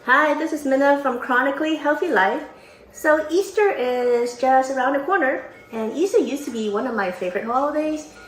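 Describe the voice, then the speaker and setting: A woman's voice: high voice, normal speed. A woman from Hong Kong co-hosting a health show, giving a casual how-to demonstration in a chatty manner with small slips. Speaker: podcast co-host. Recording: roomy echo, faint room noise.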